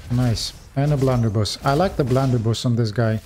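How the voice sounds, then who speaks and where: A man's voice: mid-range voice, brisk, a little mellow, a little hiss or hum. A man with a non-native English accent giving casual gameplay commentary, reading upgrade text aloud and chatting to viewers.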